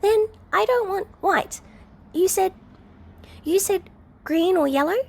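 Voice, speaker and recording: A woman voicing a timid, sweet little girl with a soft, whispery, halting way of talking. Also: very high voice, gravelly, voice pushed up, full character voice. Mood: shy, earnest. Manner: halting, shy.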